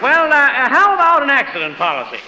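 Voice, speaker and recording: A man's voice: very high-pitched, huge pitch swings, fast talker, heavily acted. An actor playing a brash, pushy insurance salesman with morbid jokes in a 1930s radio comedy. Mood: pushy, wisecracking. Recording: noisy 1930s radio recording.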